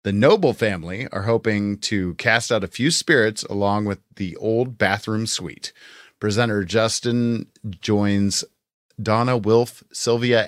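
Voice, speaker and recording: A man with a somewhat low voice co-hosts a podcast. He speaks in a casual, conversational way, recorded dry with no room sound. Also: dry recording. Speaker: podcast co-host reviewing audio dramas. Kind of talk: casual chat.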